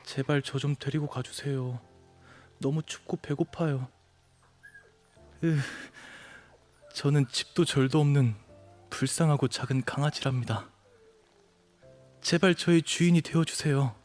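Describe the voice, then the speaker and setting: A man's voice: on the high side, unhurried, pauses often, a little mellow, soft background music, lightly acted. Man playing a cunning little puppy boy. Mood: pitiful pleading. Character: small cunning abandoned puppy shapeshifter, cute and competitive. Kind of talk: audio drama acting.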